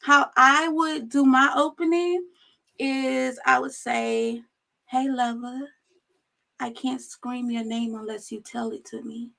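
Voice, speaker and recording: High, slow, gravelly-voiced woman. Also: fairly even intonation, sharp tone. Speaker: guest. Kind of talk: casual chat.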